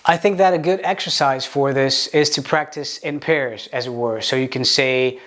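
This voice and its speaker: A man whose first language is Portuguese, speaking English with a Portuguese accent, teaching pronunciation in a video lesson and demonstrating words slowly. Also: medium pitch, a bit bright. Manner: explains with examples, slow deliberate demonstration words, some stammered restarts.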